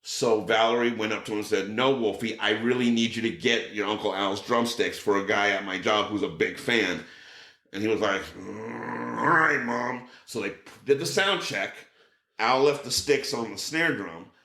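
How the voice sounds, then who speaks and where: A man's voice: normal speed, gravelly. A middle-aged man telling a story in casual chat, acting out quotes with a raised voice. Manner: animated, acts out quotes with raised pitch. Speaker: podcast guest. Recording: roomy echo.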